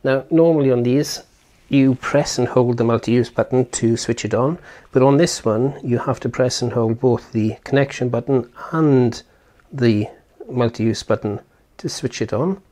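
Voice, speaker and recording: A man's voice: mid-range voice, unhurried, dark tone. A man giving casual hands-on product commentary as a reviewer, explaining step by step and thinking aloud informally. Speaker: tech reviewer and presenter.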